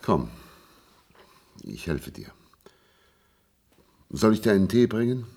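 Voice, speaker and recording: Ein Schauspieler spielt im Hörspiel einen müden, resignierten Mann, der heiser, zögernd und eindringlich auf einen Gefährten einredet. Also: male voice, on the low side, sing-song, slow, vocal fry, mellow, breathy edge, exaggerated voice. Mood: caring. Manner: hesitant, pleading, intimate. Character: world-weary, resigned man patiently coaxing his silent companion. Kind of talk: German radio play acting.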